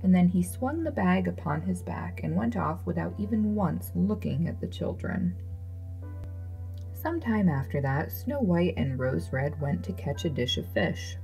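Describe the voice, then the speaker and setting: A woman storyteller reads a fairy tale from a script. Her voice sits on the low side, soft-edged and dark, with noticeable creak. Music plays behind her. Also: animated, measured pace, rough recording.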